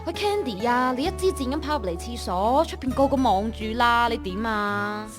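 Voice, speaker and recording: A woman's voice: fairly high pitch, expressive, normal speed, music in the background, natural voice. An actress playing a nosy young female office colleague in a radio drama, talking in a blunt, colloquial, gossipy way. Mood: flustered. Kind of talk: Cantonese online radio drama acting.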